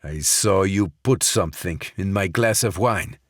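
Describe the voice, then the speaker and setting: A man voicing a cold, menacing Russian crime boss who gives slow, commanding threats. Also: low-pitched, no room sound, studio quality.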